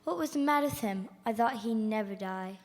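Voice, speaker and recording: A woman's voice: a little high, expressive, fairly quick. A woman playing a self-serving, gossipy business acquaintance in live radio theater. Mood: nosy. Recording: reverberant hall.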